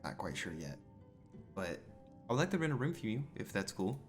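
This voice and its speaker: A man's voice: fairly low pitch, big pitch swings, normal speed. A man role-playing his character in a video game, improvising casual, polite commentary as he plays. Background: game music.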